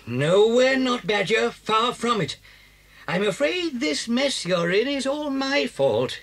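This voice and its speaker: Suave, animated British fox, slightly husky. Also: male voice, very high-pitched, takes it slowly, heavily acted. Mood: reassuring, teasing. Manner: theatrical, suave, relishing his words. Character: sly, confident, charming male fox and father. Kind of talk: children's audio story dramatisation.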